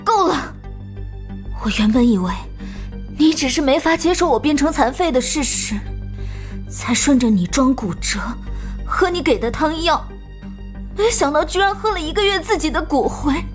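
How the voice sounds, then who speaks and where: A resolute, hurt cartoon teenager. Her voice is extremely high and full of emotion as she accuses, with faint music playing behind. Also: lively intonation, normal speed, long pauses. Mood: hurt, accusing. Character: wounded, resolute teenage schoolgirl confronting her mother. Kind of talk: dubbed animated crime drama.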